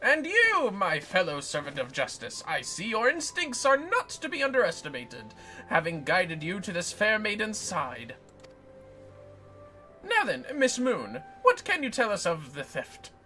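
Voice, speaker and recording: A woman voicing a self-important gentleman inspector from a video game, declaiming in grand, florid, theatrical exclamations. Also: mid-range voice, wildly swooping pitch, a little slow, soft background music, exaggerated voice. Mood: earnest, self-important.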